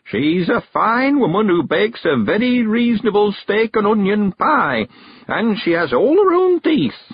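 A man's voice: very animated, breathy edge, dry recording, muffled recording, full character voice. A man reading an audiobook, voicing a cheerful, stubborn ninety-one-year-old countryman with a rustic dialect. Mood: chatty. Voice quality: rustic dialect, quavery and high, drawn-out words.

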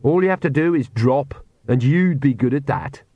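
A man's voice: medium pitch, lively intonation, normal speed, slightly rough, no echo, dull audio, pitched above the performer's own voice. A man voicing a cocky, impatient young woman with an insistent, taunting manner.

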